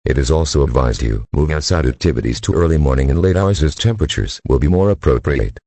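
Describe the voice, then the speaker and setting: A synthetic-sounding man's voice as a weather radio announcer, reading official bulletins in a measured, impersonal way. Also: extremely low pitch, animated, warm tone, breathy edge, no room sound, heavily acted. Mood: calm, official.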